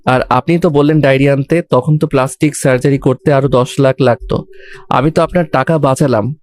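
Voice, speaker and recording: A man's voice: medium pitch, fairly even intonation, a little fast, lightly acted. A man playing a cheeky, bold young servant in an audio love story, teasing with mock deference and protective.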